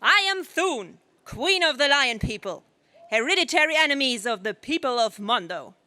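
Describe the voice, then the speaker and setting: A woman's voice: sits high, very animated, normal speed, sharp tone, no room sound, full character voice. A woman playing a fierce, noble queen of a lion people, with grand, archaic declamation in a 1930s radio serial style. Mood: proud, friendly.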